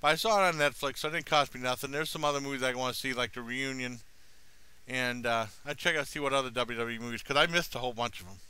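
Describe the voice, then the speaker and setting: A man's voice: medium pitch, fast talker, sharp tone, smooth. A middle-aged American man giving a casual, rambling review in a laid-back, plain-spoken way, recorded on a headset at home. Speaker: product reviewer, vlogger. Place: small room at home.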